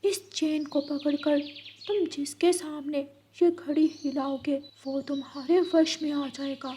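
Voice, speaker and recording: Casting: actress playing a magical witch, extremely high voice, insistent bargainer, cartoon, some background noise. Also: fairly even intonation, measured pace, mellow. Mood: persuasive. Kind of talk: Hindi cartoon story dialogue.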